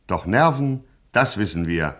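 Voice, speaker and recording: An actor playing a solemn, pompous, tongue-in-cheek narrator of a satirical gangster radio play. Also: male voice, on the low side, animated, a little slow, a bit bright. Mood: mock solemn. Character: grandiose mock-epic narrator of a gangster satire.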